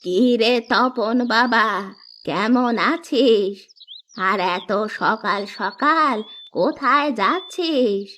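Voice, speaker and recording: A woman's voice: a little high, pushed and bright, a little hiss or hum, full character voice. A woman playing a kindly, shrewd old village granny in a Bengali cartoon, concerned and full of advice. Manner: slow, concerned, advising. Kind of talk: Bengali moral-story cartoon dub.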